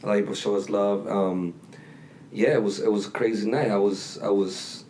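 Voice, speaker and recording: A man's voice: fairly low pitch, steady tone, moderate pace, darkish tone, slight background noise. A young man hosting a casual podcast, laid-back with loose slang. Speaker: podcast co-host. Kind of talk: casual chat.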